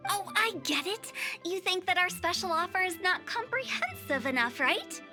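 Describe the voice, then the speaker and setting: Very high-pitched, cheeky young funeral director. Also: female voice, very animated, normal speed, pushed and bright, full character voice. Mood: cheerful, scheming. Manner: theatrical salesy patter. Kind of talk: anime game voice acting. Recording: game audio with music behind.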